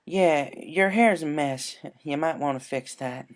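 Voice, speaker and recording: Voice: medium pitch, unhurried, voice pushed up, close to an everyday voice. A performer voicing an adult female farm pony, stern but caring, with folksy country phrasing, in a cartoon fan story. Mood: teasing.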